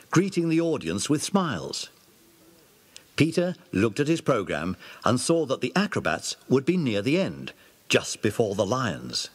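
A man narrating a children's audio drama with animated storytelling. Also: medium pitch, slightly rough.

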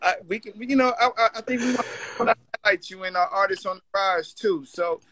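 A man's voice: high voice, brisk, pushed and bright, a little hiss or hum, low-quality recording. A middle-aged man giving an informal motivational talk in an emphatic, urging way. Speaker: guest mentor, magazine editor.

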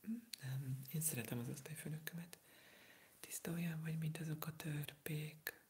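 An eighteen-year-old from Hungary whispering softly through an ASMR livestream, answering viewer comments while sick with a sore throat, rambling and hesitant. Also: medium pitch, steady tone. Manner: soft unvoiced whisper, rambling, hesitant with fillers.

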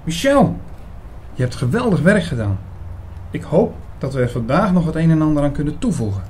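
A man's voice: fairly high pitch, big pitch swings, brisk, darkish tone, slight background noise. A man playing an attentive investigator who narrates his own story in a scripted radio drama. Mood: engaged, appreciative. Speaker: narrator and lead actor in an audio drama.

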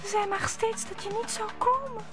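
A woman's voice: very high voice, steady tone, moderate pace, fairly bright tone, no echo, soft background music. An actress playing a frail, trusting young woman, fearful and fading, in an old Dutch radio play. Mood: tearful, dying.

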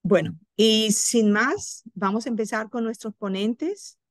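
A woman's voice: on the high side, slow pace, no echo, clean studio sound. A woman moderating an online course session, talking informally with frequent fillers and cueing the next slides. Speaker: webinar moderator.

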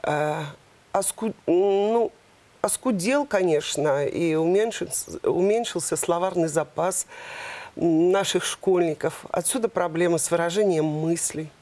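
A woman in her fifties, a university department head, explaining in a measured way with frequent hesitations in a TV studio interview on a lapel mic. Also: on the low side, warm tone.

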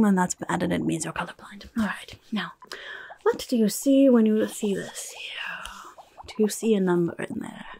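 A young woman voicing a rude, impatient goblin nurse in an ASMR roleplay, muttering in soft, breathy whispers close to the mic and repeating herself grudgingly. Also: mid-range voice. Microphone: close microphone.

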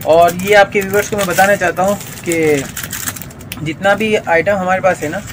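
Man, shopkeeper, repetitive filler tags, loud busy shop ambience. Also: high-pitched, fairly even intonation, normal speed, background noise. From Pakistan. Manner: fills with "jo hai na" and "theek hai na". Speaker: wholesale shopkeeper. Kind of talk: sales pitch demonstration. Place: indoors, crowded accessories shop.

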